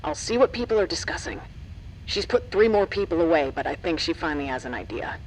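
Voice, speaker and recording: A woman's voice: fairly low pitch, big pitch swings, fairly quick, slight background noise, poor audio. A woman playing a weary, businesslike go-between officer in an audio drama. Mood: weary, practical.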